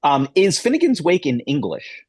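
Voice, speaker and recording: A man's voice: fairly high pitch, huge pitch swings, normal speed, a little mellow, slightly rough, dry recording, studio quality. Rambling man moderating a panel. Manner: rambling, hesitant, many fillers and restarts. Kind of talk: video call panel discussion. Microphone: laptop mic on video call.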